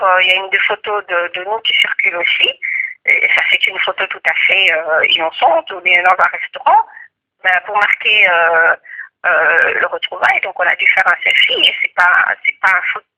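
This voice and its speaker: A woman's voice: medium pitch, sharp tone, rough recording. A woman in her forties from DR Congo, answering interview questions hesitantly with many fillers and indignant denials.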